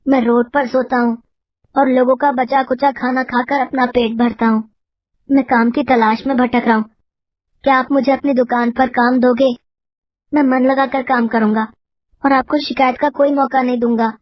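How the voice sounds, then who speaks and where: A woman's voice: high-pitched, fairly even intonation, moderate pace, no room sound, natural voice. A woman voicing an earnest, humble, poor orphan boy in a storybook tale. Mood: humble pleading.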